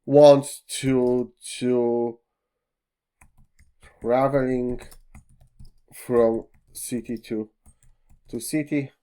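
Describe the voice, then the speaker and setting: A man whose first language is Polish guides viewers through software at a slow, unhurried pace. His speech is full of fillers and slightly non-native phrasing. He speaks into a desktop mic at his computer. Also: medium pitch, level tone, smooth. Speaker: tech tutorial host. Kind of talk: screen-recorded software walkthrough.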